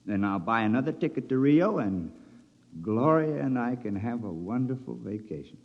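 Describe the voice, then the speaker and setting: A man's voice: mid-range voice, lively intonation, moderate pace. A menacing humanoid puppet, a man's double with an American accent. He speaks with smug, mock-polite deliberation and swooping intonation. It is a muffled old radio drama with long reverb. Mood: coolly menacing. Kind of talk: 1950s radio drama acting.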